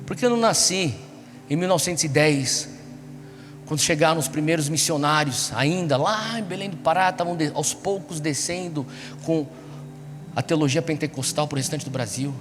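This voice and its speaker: A Brazilian man preaching to a young congregation, exhorting them with repeated rhetorical questions. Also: fairly high pitch. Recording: echoey large hall, music behind. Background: music.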